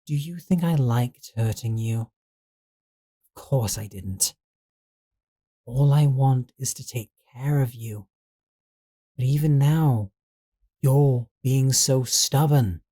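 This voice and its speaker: Possessive male servant, played by a man for audio roleplay: mid-pitched, dark relaxed tone, coaxing and controlling beneath polite deference. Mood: quietly reproachful, then stern, devoted. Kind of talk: scripted roleplay audio drama.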